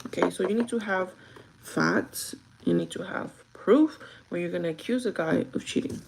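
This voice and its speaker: A young woman with a gravelly, groggy voice chats casually and directly to her viewers, giving advice in an informal vlog style. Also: on the low side, normal speed, poor audio. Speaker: vlogger chatting while doing makeup.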